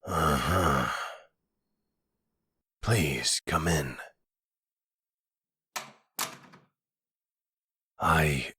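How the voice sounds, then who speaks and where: A gruff werewolf pack leader acted by a man with an extremely low, rough husky voice. He speaks slowly and formally, guarded and protective yet courteous, in an intimate audio roleplay. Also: sharp tone, no room sound, clean studio sound, full character voice. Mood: guarded, abrasive.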